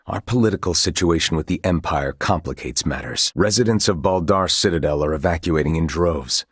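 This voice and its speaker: A grave military commander from a fantasy game. His voice is very low and notably husky, and he speaks with formal, old-fashioned courtesy and a dutiful, measured bearing. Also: dry recording, studio quality. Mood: grave, guarded. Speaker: video game voice actor. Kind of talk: scripted video game dialogue.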